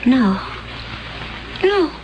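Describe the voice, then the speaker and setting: An actress playing a gentle, anguished young woman from a future world in a 1970s radio drama, hesitant and pleading, with formal diction. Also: sits high, very animated, drawn-out words, exaggerated voice, low-quality recording. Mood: frightened, resisting.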